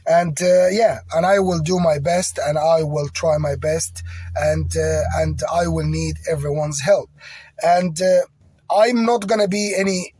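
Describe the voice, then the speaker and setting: French-native man, higher-pitched and husky, rambling and agitated personal appeal. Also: fairly even intonation, measured pace, dark tone.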